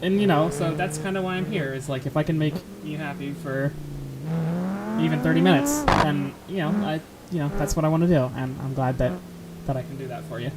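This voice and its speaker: Brief: streamer voice, average pitch, rambling and self-mocking, car game noise underneath. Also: fairly quick. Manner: rambling, self-deprecating, laughs often. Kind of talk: casual chat while playing a game. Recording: steady game noise behind. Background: car game sounds.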